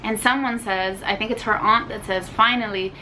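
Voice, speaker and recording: A woman's voice: medium pitch, fairly even intonation, moderate pace, sharp tone, a little hiss or hum, low-quality recording. A young woman whose first language is Spanish, chatting casually and ranting animatedly about a book, with lots of fillers. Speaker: book vlogger. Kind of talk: casual book review chat.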